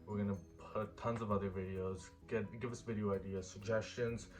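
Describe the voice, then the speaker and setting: A man's voice: fairly low pitch, flat delivery, fairly quick, a little mellow, faint music behind. A man in his early twenties chatting casually in a couple Q&A vlog, easygoing and rambling with fillers. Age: 20s. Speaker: vlogger.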